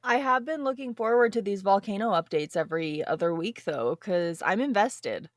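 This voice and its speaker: A woman's voice: mid-range voice, lively intonation, moderate pace, no room sound, studio quality. Blunt, casual woman podcast co-host. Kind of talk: casual chat.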